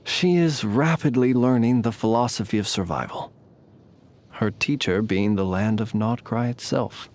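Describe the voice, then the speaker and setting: A man voicing a courtly, humble lamp-bearing knight in a video game, speaking in formal, eloquent, old-fashioned phrases at a measured pace. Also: fairly low pitch, darkish tone. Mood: reflective, courteous. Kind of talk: scripted game character voice lines.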